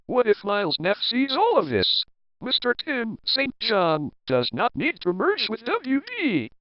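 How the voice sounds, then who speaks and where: A man's voice: very high-pitched, huge pitch swings, measured pace, no room sound, exaggerated voice. A man playing an exasperated, scolding TV newsroom boss in a comedy sketch, repeating himself emphatically. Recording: dull lo-fi audio.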